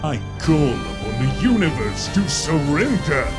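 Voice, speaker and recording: A man's voice: a little high, sing-song. A man playing a grandiose, menacing galactic overlord villain in an anime dub, speaking pompously and theatrically. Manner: pompous, slow theatrical delivery. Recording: loud music under the voice.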